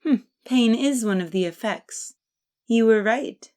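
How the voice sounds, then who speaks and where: A woman's voice: a little high, a little slow, darkish tone, clean tone, natural voice. A woman playing a curious, questioning bandit in a fantasy audio drama.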